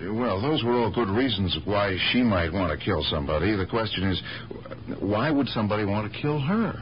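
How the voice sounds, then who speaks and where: Actor playing a deep-voiced, harried police detective, muffled radio. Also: male voice, lively intonation, normal speed, lightly acted. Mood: exasperated, urgent. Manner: blunt, pleading, wry. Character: harried, exasperated police detective, devoted nephew. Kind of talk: 1970s radio drama acting. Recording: dull, noisy 1970s radio broadcast recording.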